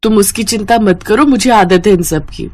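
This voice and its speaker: A woman's voice: medium pitch, normal speed, a little mellow, lightly acted. A woman voicing a stubborn, impatient young mother in a cartoon story, speaking insistently. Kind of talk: Hindi cartoon story voice acting.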